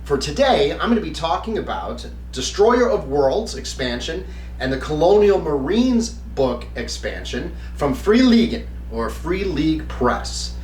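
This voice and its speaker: Higher-pitched man reviewing, roomy distant sound. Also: big pitch swings, measured pace, darkish tone. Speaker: host of a game review channel. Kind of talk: semi-scripted review talk to viewers. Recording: roomy, distant mic with faint hum.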